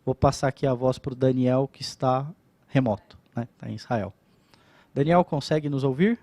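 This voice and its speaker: A man's voice: mid-range voice, normal speed, a little mellow, no room sound. A man presenting his company's cybersecurity services at an event and addressing the audience in a casual, explanatory way. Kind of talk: corporate presentation. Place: event stage at company office.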